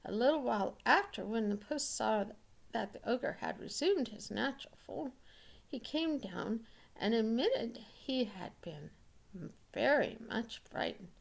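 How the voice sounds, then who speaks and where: A woman reading a fairy tale aloud as an expressive storyteller. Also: a little high, unhurried, vocal fry.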